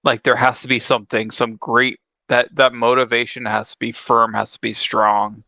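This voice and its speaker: A man's voice: medium pitch, flat delivery, moderate pace, vocal fry, sharp tone, no room sound, highs cut off. A man co-hosting a casual discussion, explaining his points earnestly and repeating them for emphasis. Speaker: podcast co-host.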